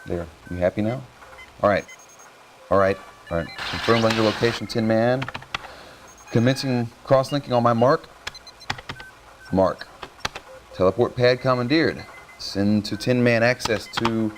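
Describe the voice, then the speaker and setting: A young male resistance radio operator, played by an actor in a video game cutscene. He is cocky and harried, with clipped technical patter in a middling pitch over clearly noisy machinery. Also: a little slow, long pauses, close to an everyday voice. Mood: grumbling, then businesslike. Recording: noisy radio-room sound, clicks and whirring.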